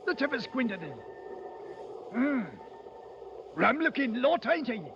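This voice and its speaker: Casting: creaky, extremely high-pitched nosy Cockney commoner for old-time radio drama. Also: male voice, very animated, measured pace, exaggerated voice. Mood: gossipy. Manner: inquisitive, colloquial. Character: nosy, excitable working-class Cockney train passenger. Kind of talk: 1930s radio character acting. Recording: noisy, dull old radio broadcast.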